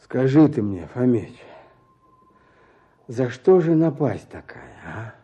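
Husky, dark-voiced peasant fugitive. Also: male voice, mid-range voice, sing-song, slow pace, exaggerated voice. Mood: bitter, questioning. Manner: plain folksy peasant speech, earnest. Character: weary hunted peasant fugitive, gentle but stubborn. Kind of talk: Russian radio drama acting. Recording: dull, muffled radio play recording.

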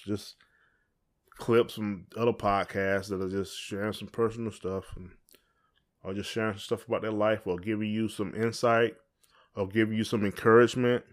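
A man with a Southern US accent co-hosting a podcast in a casual, folksy, playful and rambling way. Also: lowish voice. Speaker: podcast co-host. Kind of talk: casual chat.

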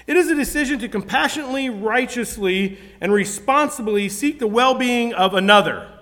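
A high-voiced man gives a sermon in an earnest, conversational way, often stopping to restart his sentences. His voice rings out with a long reverb behind it. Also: lively intonation, normal speed, a bit bright. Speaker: preacher.

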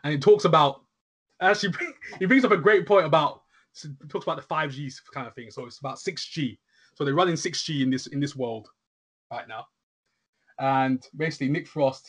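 A man co-hosting a show, talking casually in an excitable, slangy way. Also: mid-range voice, husky. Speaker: co-host reviewing shows. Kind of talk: casual chat.

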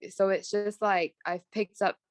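A woman's voice: medium pitch, steady tone, unhurried, no room sound, clean studio sound. A young woman from Malaysia with a mixed Malaysian, British and American accent, chatting as an interview guest and rambling with many fillers and restarts. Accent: Malaysian with British and American traces. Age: young adult. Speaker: guest, fashion graduate. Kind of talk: casual podcast interview.

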